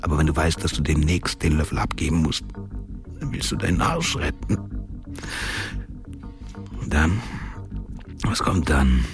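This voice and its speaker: An actor playing a crude convict who is dying and afraid of death, brooding in a radio drama monologue. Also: male voice, a bass voice, lively intonation, a little slow, pauses often. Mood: fearful brooding. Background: music.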